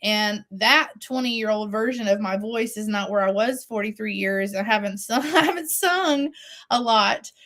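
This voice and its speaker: A woman's voice: mid-range voice, normal speed, fairly bright tone. A woman in her forties, a singer-songwriter talking earnestly and reflectively in an interview, her voice still recovering after a loss. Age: 40s. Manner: earnest, faith-driven, with laughs and restarts.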